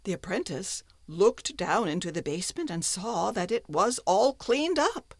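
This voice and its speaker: A woman narrating a folktale as a storyteller, with expressive, dramatic phrasing. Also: mid-range voice, measured pace, no room sound, clean studio sound.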